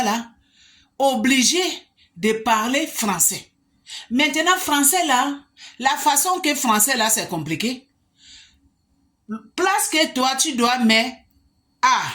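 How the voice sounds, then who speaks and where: A woman's voice: a little high, a bit bright. A woman from Africa, talking straight to the camera as a vlogger and putting emphatic rhetorical questions to the viewer. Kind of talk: monologue to the camera.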